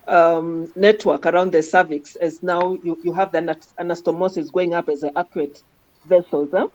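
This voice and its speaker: A woman with a fairly low, very mellow voice teaches informally from images. She tends to tag her statements with little questions as she explains to a trainee. The recording is dull and lo-fi. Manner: ends statements with "huh?" and "right?" tags. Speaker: radiology teacher guiding a trainee. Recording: dull lo-fi audio.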